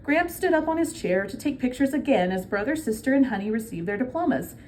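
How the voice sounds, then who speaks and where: A woman's voice: on the high side, lively intonation, brisk, darkish tone, slight background noise. A woman reading a children's book aloud like a teacher, explaining simply and prompting her listeners with questions.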